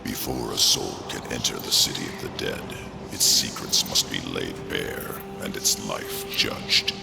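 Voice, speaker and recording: A haughty lord of the dead, really croaky, slow n theatrical, game cutscene. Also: male voice, very deep voice, full character voice. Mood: solemn. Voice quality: gravelly, creaky. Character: solemn, haughty male undead lord of the dead. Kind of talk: video game cutscene acting. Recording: loud cinematic music behind.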